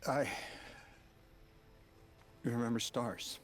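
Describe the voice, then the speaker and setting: An actor voicing a bewildered man displaced centuries from his own time, halting and searching for words, in a video game cutscene. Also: mid-range voice. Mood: wistful. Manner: anguished and halting when grieving, folksy and rambling when relaxed.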